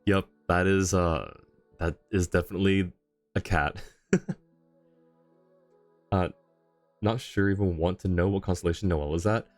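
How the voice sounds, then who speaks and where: Man, low voice, casual game streamer, rambling, thinking aloud, game music in the background. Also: steady tone, a little slow, long pauses, no room sound. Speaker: game livestreamer. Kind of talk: casual livestream chat while playing a game. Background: video game music.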